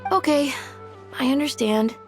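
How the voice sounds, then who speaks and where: Very high, timid little child. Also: female voice, unhurried. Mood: meek, grateful. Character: timid, sweet little child, easily frightened. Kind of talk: video game voice acting. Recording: very loud music behind. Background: loud music.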